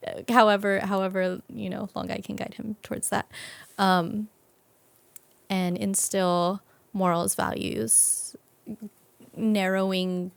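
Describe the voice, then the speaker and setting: A woman's voice: mid-range voice, level tone, slow, gravelly, clean tone, no echo. A woman, a young adult, talking reflectively and casually as an interview guest.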